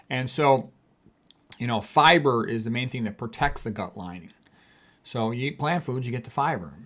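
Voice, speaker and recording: Man giving an informal health lecture. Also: medium pitch. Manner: emphatic, opinionated, repeats points plainly. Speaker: physician presenting health lecture, author. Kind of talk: informal explanatory lecture reading and commenting on quotes.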